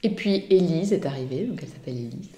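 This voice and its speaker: A woman's voice: sits low, animated, quick pace, mellow, echoey room. A woman hosting an interview podcast, asking questions in a friendly way. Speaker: podcast host.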